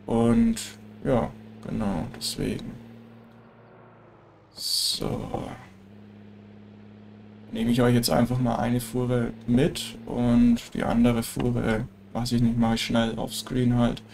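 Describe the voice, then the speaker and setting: Slow-talking gravelly man with a cold. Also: medium pitch, slight background noise. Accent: German. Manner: relaxed, chatty, frequent filler tags. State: stuffy nose from a cold. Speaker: let's play gamer. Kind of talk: casual gameplay commentary.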